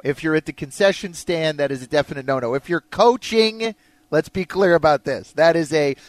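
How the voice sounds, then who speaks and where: Guy hosting sports talk radio, kinda high voice, dry close studio sound, casual banter. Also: dry recording.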